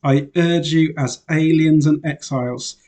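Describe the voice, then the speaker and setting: A man's voice: fairly high pitch, measured pace. A man, a priest born in Wales and raised in England, giving an informal, chatty self-introduction to his parishioners with frequent "uh" fillers. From Wales, raised in Northamptonshire, England. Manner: relaxed and chatty, many "uh" fillers, light laughs.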